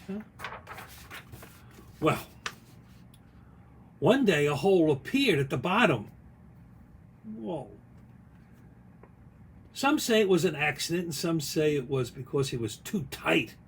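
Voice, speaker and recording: A man reading their own picture book aloud in an expressive, folksy way, with chatty asides along the way. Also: fairly high pitch, a little hiss or hum. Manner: folksy, expressive, pausing to comment on the story.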